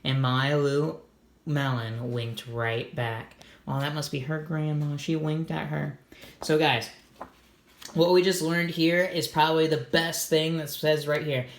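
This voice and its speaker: A man's voice: fairly high pitch, flat delivery, unhurried, reverberant. A man reading a children's storybook aloud, adding simple, encouraging comments for young listeners. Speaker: read-aloud host for children.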